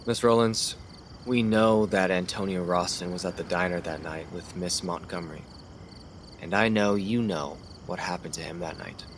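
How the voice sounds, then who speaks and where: A man's voice: deep voice, normal speed, slight background noise, close to an everyday voice. A man acting as an earnest, methodical police detective in an audio drama. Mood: reassuring, firm.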